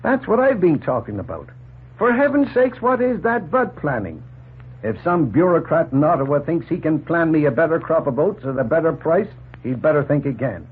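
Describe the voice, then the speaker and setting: An actor playing a plain-spoken, opinionated middle-aged farmer in a folksy, emphatic 1940s radio drama style. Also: male voice, a little high, big pitch swings, normal speed, husky. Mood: worked up. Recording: noisy, tinny 1940s radio recording.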